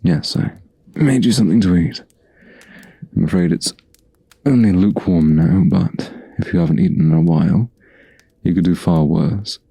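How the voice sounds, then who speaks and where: A man voicing a gentle, protective huntsman in a soft-spoken, intimate ASMR fantasy roleplay, with slow, soothing, old-fashioned phrasing and a low, gravelly, breathy voice. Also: no echo, exaggerated voice. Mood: calm, reassuring.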